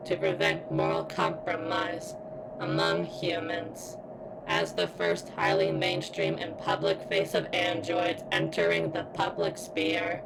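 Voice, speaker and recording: A woman voicing a damaged female surveillance robot in an ASMR roleplay, speaking in formal, clinical, halting phrases with a strained, pained delivery. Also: a bass voice, vocal fry, noisy background, full character voice. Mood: clinical, defensive. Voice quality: strained, as if in pain. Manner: formal, clinical, stilted wording with halting pauses. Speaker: voice actor in audio roleplay. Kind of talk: ASMR roleplay, scripted character monologue.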